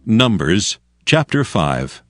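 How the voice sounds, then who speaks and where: A man narrating scripture in a solemn, measured and formal way for an audio drama. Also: fairly low pitch, very animated. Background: guitar and instrumental music.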